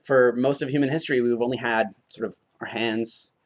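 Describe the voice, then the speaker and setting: A man in his thirties with a cold and a croaky voice, giving a relaxed, joking tech talk to a meetup audience. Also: medium pitch, brisk. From Oregon, United States. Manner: relaxed, self-deprecating humor, frequent "uh". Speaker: conference speaker. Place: meetup venue before an audience.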